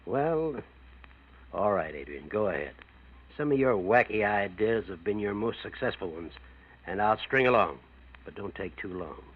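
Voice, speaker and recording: An actor playing a skeptical but indulgent police inspector, brusque and wry, in a 1940s radio drama. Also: male voice, on the low side, expressive, moderate pace, breathy edge. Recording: dull vintage radio recording.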